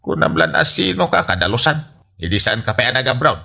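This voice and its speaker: A man's voice: mid-range voice, sing-song, a little fast, gravelly, pushed and bright, heavily acted. A man playing a scolding, grumbling father in an Ilocano comedy drama, with an Ilocano accent. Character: scolding father, unimpressed by his putting-on-airs daughter.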